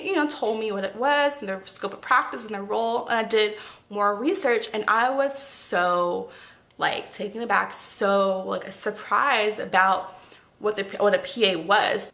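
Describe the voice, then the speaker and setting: Young woman telling her story all animated, bright voice with some fry. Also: medium pitch. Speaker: interviewee. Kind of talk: casual interview answer.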